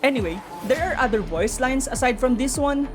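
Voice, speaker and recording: A woman with a fairly high voice narrates a lore breakdown over background music. She is chatty and informal, weighing theories and addressing her viewers directly. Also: animated, a little fast. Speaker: video narrator explaining game lore. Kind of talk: informal explainer commentary. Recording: music under the voice.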